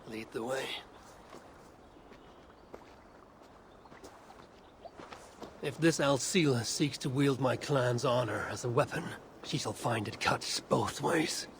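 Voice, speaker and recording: Man playing a gravelly, grim Norse warrior. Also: mid-range voice, noisy background, natural voice. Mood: resolute. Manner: grim, measured, terse. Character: stern, proud Norse Viking warrior of a raven clan. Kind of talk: dramatic video game acting.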